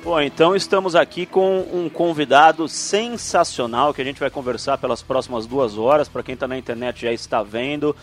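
A man's voice: mid-range voice, moderate pace, few pauses, a little hiss or hum. A man hosting a radio sports talk show, introducing his guest with enthusiasm.

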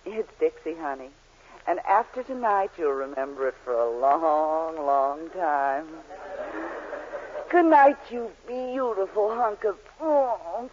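A woman's voice: lowish voice, lively intonation, slow, darkish tone. Sultry female swindler, seductive and hard-boiled, muffled vintage radio sound with faint noise. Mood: sultry, flirtatious. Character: sultry scheming female con artist, flirtatious and hard-boiled. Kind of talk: 1940s radio comedy acting. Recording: muffled, faintly noisy 1940s radio recording.

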